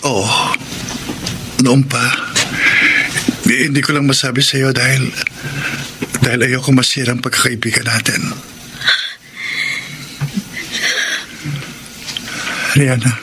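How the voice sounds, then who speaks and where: A man performs a timid young lover in a radio drama. His mid-range voice is earnest and halting, full of nervous care, on a recording with clear noise and clicks. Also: mid-range voice, level tone, natural voice. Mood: apologetic, earnest. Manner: hesitant, earnest. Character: shy, anxious young man secretly in love. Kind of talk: Tagalog radio drama acting.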